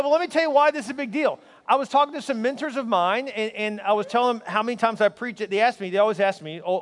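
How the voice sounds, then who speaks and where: A pastor in his forties preaching a sermon to a large congregation, energetic and conversational, with quips thrown at the crowd. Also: high-pitched. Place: large church auditorium. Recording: long hall reverb.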